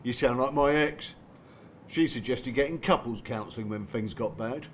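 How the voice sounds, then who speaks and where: An actor plays a reformed, streetwise man mentoring others in an audio drama. He has a working-class southern English accent and talks bluntly and colloquially, quick to bristle. His voice sits at an average pitch, over clear background noise. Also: lightly acted. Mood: insistent. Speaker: support group mentor. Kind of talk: naturalistic audio drama acting.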